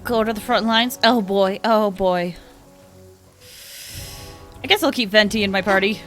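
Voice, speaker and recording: A woman's voice: mid-range voice, a little slow, a bit bright. A woman game streamer giving casual live commentary in an informal, playful, exclamatory way. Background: game music.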